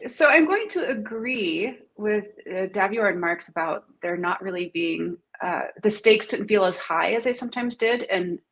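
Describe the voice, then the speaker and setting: A woman's voice: medium pitch, expressive, moderate pace, few pauses, fairly bright tone. A woman panelist giving her opinions in a conversational way. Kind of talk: casual opinion in panel discussion.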